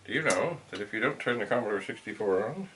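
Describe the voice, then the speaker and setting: A middle-aged man with a slightly low voice talks in a casual, halting way, as if thinking aloud while he works. He is demonstrating something hands-on in a room at home. There is noticeable noise behind him. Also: lively intonation. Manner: halting, musing, talks to himself. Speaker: vintage computer hobbyist and video host. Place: cluttered indoor home room. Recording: clear background noise, equipment clicks.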